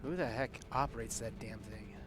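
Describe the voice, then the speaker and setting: A man's voice: mid-range voice, moderate pace. A man playing a tabletop roleplaying game, talking casually as his character. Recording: music clearly under the voice. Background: clear background music.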